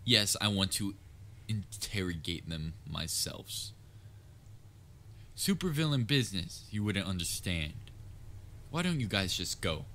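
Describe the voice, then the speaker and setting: A man's voice: deep voice, big pitch swings, a little slow, long pauses, fairly bright tone, heavily acted. A man playing a theatrical, pompous supervillain with dry sarcasm in a comedic audio roleplay. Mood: irritated, dismissive. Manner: pompous, gloating, dryly sarcastic. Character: theatrical male supervillain, pompous yet secretly concerned nemesis. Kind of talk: scripted audio roleplay, comedic villain monologue.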